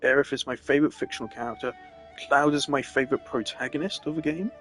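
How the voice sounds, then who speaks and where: A man's voice: mid-range voice, fast talker, a bit bright. Male game streamer, mid-range voice, casual exasperated rant, emphatic delivery, faint music underneath.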